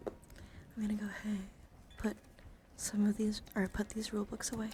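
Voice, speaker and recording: A woman hosts an ASMR livestream in a soft voice that often drops to a whisper. Her pitch sits in the middle, breathy and gentle. She rambles intimately to viewers close to the mic, with clear ambient noise behind her. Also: flat delivery, moderate pace. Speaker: livestreamer and VTuber host. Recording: clearly noisy ambient background.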